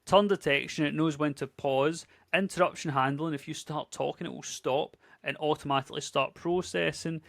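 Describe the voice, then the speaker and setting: Scottish guy in his 30s, tutorial host, chatty and upbeat, bit of fry, dry close sound. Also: a little high, fairly bright tone, no room sound. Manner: chatty, upbeat, conversational with filler words. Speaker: tech YouTuber. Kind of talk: casual screen-share tutorial.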